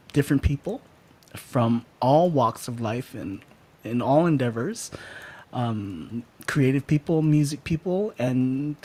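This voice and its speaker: Halting man hosting a casual livestream. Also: medium pitch, darkish tone. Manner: halting, searching for words. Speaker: podcast host.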